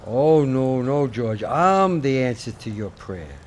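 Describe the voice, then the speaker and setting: A man playing a gentle, fussy guardian angel in a radio play, speaking in a quaint, genteel, eager way. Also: medium pitch, big pitch swings, slow pace, a little hiss or hum. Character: gentle, fussy, old-fashioned male angel. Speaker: radio actor. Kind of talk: 1940s radio acting.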